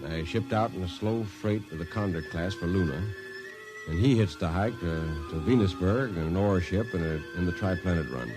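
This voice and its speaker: A man's voice: sits low, normal speed, close to an everyday voice, rough recording. An actor playing a plainspoken, world-weary American spaceman as a storyteller in an old-time radio drama. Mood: reminiscing. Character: world-weary male spaceman, old shipmate telling the tale. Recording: vintage radio drama with loud music.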